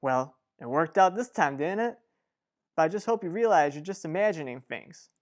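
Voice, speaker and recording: A woman's voice: deep voice, animated, moderate pace, a little mellow. Woman acting sensible sibling in radio soap. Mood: exasperated, chiding. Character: level-headed, exasperated, protective sibling. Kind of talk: old-fashioned radio soap opera acting.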